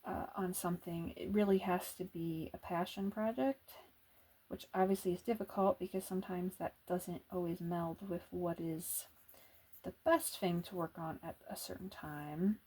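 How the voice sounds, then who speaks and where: A soft-spoken woman doing casual ASMR chat as an artist at work, talking in an unhurried, musing way. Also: on the low side, fairly even intonation, slightly rough, poor audio. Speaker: illustrator and comic artist narrating a drawing video.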